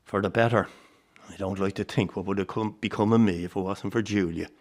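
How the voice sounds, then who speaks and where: A man's voice: low-pitched, fairly even intonation, a little fast, darkish tone, natural voice. A man acting an old Irish circus grandfather in a radio drama, nostalgic and fond, carrying old grief. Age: older.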